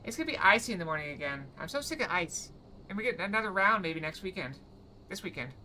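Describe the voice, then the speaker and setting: A woman's voice: lowish voice, big pitch swings, fast, bright, forceful tone, slight background noise, low-quality recording. A woman streaming a video game, chatting casually in a rambling, playful way. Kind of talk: casual live-stream chat over gameplay.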